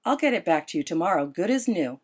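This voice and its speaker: A woman's voice: lowish voice, big pitch swings, quick pace, darkish tone, husky. A woman reading a shy earnest young man. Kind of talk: audiobook character dialogue.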